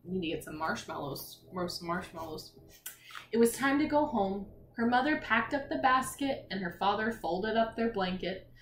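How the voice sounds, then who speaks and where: A woman's voice: medium pitch, expressive, normal speed. A woman teacher reading a children's picture book aloud, expressively, with encouraging asides and questions to young listeners. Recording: echoey room with faint noise.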